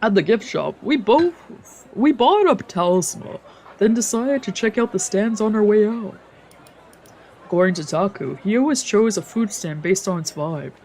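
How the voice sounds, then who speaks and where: A woman's voice: mid-range voice, animated, normal speed, darkish tone, slight background noise. A woman, a streamer narrating a visual novel in a let's play. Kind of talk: let's play, narrating and voicing translated lines.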